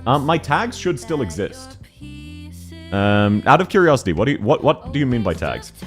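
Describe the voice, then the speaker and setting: A man with a thick Australian accent, chatting casually and quickly as a game streamer, laughing often while reading chat aloud. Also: medium pitch, faint music behind. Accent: Australian, unusual variety. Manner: fast-talking, laughs often, animated.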